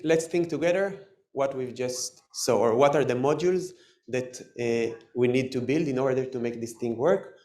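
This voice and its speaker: A man with an Israeli accent giving a live technical talk, halting and full of fillers and restarts. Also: medium pitch, a little mellow. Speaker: tech presenter. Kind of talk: live conference talk with demo.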